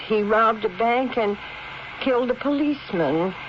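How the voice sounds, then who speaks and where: A woman's voice: on the high side, animated, unhurried. A woman acting in a 1970s radio drama as an anxious, deferential old housekeeper. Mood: deferential, confiding. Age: older. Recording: muffled, hissy 1970s radio broadcast.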